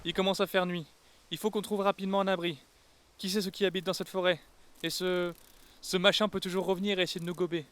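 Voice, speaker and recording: Cosmo, clear high-voiced bossy leader, acted by a man. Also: flat delivery, fast, fairly bright tone. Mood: calm, troubled. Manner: firm, parental, takes charge. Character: Cosmo, bossy, protective, reasonable young group leader. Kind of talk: audio fiction acting.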